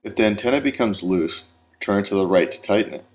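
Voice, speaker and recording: A man reading scripted instructional narration for a training video in a measured, step-by-step, plain manner. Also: on the low side.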